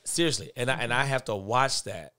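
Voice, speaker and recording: A middle-aged man with a naturally big, forceful voice, talking candidly in a podcast conversation and getting louder when he drives a point home. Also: medium pitch, fairly quick, clean tone, no room sound. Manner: earnest and candid, speeds up and swells in volume when making a point. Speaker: guest, father of boys.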